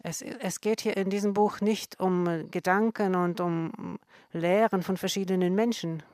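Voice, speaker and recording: A woman with a fairly low voice explains scripture in an earnest, measured way. She speaks slowly and stops often to correct herself. The sound is close and dry, with no room around it. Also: fairly even intonation, a little mellow, no echo. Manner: slow, careful, with frequent self-corrections.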